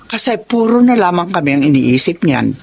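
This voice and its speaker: A woman's voice: on the low side, big pitch swings, a little fast, vocal fry, husky, no echo, exaggerated voice. An actress playing a frank mother who gives her blessing to her daughter's marriage. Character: blunt mother, now understanding. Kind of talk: radio drama acting.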